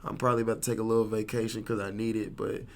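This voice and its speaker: Thirties male rapper guest, gravelly and flat-toned, laid-back slang, faint hiss. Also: lowish voice, racing. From Kansas City, USA. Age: 30s. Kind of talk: casual interview chat.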